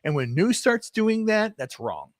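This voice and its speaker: A man in his fifties from Texas, hosting a casual, opinionated talk with passion and many halting restarts. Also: high-pitched, sing-song, no room sound, studio quality. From Texas, USA. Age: 50s. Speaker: podcast host.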